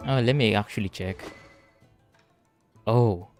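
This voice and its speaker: Slow, casual Filipino man streaming games. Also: fairly low pitch, a little mellow, faint music behind. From Philippines. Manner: mixes in Tagalog. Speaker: VTuber game streamer. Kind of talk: casual livestream game commentary, slangy.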